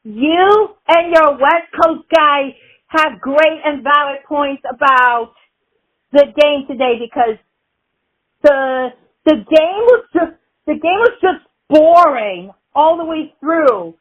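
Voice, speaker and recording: A woman's voice: high voice, lively intonation, slow. A woman from the Bronx leaving a voicemail as a caller with casual sports opinions. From the Bronx, New York. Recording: dull, lo-fi voicemail.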